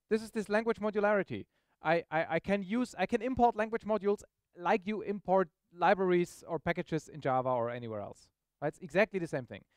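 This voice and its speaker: A man's voice: high voice, smooth, dry recording, clean studio sound. A man giving an informal, explanatory live technical demo to an audience, often checking in with questions. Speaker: software presenter. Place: meetup presentation room, on stage.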